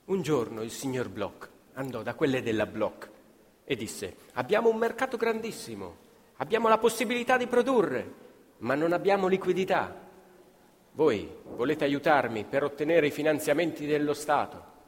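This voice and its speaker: A man acting as an earnest storyteller of a workers' ballad, with measured, declarative theatrical delivery. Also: a little high, big pitch swings. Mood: probing. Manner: measured, declarative, repetitive cadence. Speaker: stage actor, narrator and questioner. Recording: reverberant theatre hall, music playing along. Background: live violin music.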